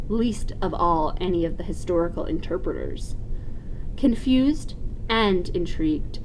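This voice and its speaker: A woman reads a ghost story aloud with a dramatic, suspenseful delivery. Her voice sits at an average pitch, and there is some faint noise in the recording. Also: poor audio. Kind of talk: expressive read-aloud of a spooky story.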